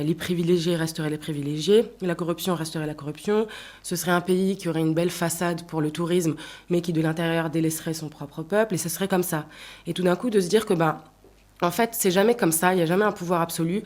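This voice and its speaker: A woman in her thirties from Tunisia, a singer answering interview questions in a reflective way, pausing often and restarting her sentences. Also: lowish voice, a little mellow. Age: 30s. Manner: thoughtful, many hesitations and restarts. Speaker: singer-songwriter guest.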